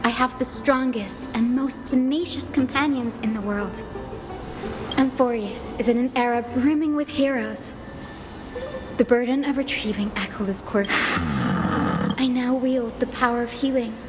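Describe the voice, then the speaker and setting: A woman playing a gentle, resolute young healer heroine in a fantasy video game, speaking politely and earnestly. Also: a little high, very animated, unhurried, music in the background. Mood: earnest determination. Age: young adult.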